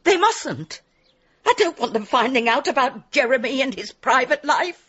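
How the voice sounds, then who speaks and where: A woman's voice: sits high, animated, normal speed, fairly bright tone, husky, highs cut off, heavily acted. An actress playing a grieving, guarded middle-aged village mother in a radio drama, brittle and emotional. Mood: anxious.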